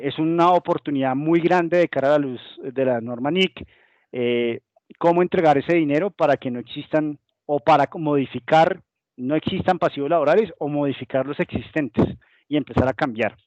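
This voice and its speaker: A man's voice: on the high side, moderate pace, darkish tone, no echo. A Colombian man gives a business webinar talk in a slightly raised voice. He explains things patiently and now and then acts out what companies might say. The sound is close and dry, with the muffled, lo-fi quality of a video call. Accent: Colombian Spanish. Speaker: guest presenter.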